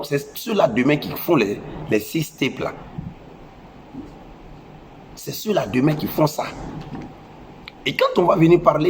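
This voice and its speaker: A man's voice: medium pitch, a little hiss or hum. Scolding man with a head cold. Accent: West African French. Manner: scolding, preachy, repeats phrases for emphasis. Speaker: social media commentator. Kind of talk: impassioned moralizing monologue.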